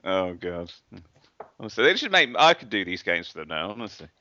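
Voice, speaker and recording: Fairly low guy with a bright edgy voice, wry podcast quips, dull dry mic. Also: very animated. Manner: wry, quick teasing asides. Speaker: podcast co-host. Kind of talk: casual chat.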